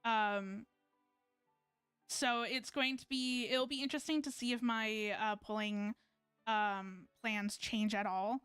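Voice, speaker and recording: A young woman from Canada chats to her stream viewers, trailing off and restarting her sentences often. Her voice is crisp and clean, and the close mic sounds dry and free of room echo. Quiet game music plays underneath. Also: fairly high pitch, steady tone, slow pace. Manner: rambling, hesitant, many fillers and restarts. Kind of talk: casual gameplay chat with viewers.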